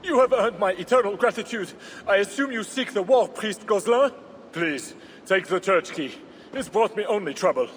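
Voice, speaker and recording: An actor playing a frantic, bitter jester with an agitated, theatrical way of talking in a video game cutscene. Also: male voice, high voice, animated, normal speed, slight background noise. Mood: grateful, weary. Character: frantic, bitter jester, nervous townsman of Paris. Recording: echoing hall, music behind.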